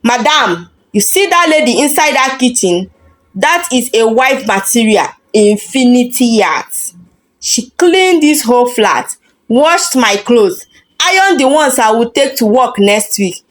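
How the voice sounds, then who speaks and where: Woman as pompous chauvinist boyfriend, high-pitched. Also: lively intonation, a little slow. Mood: boastful, demanding. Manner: pompous, dismissive. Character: arrogant, chauvinistic boyfriend demanding a submissive wife.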